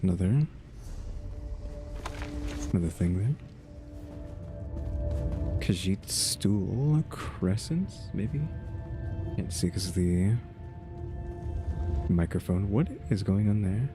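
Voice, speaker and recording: A male gaming streamer with a low voice talks casually to himself as he plays. His delivery is wry and rambling, with game music clearly audible behind him. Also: takes it slowly, pauses often. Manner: musing aloud, wry, rambling. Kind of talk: casual off-the-cuff gameplay commentary.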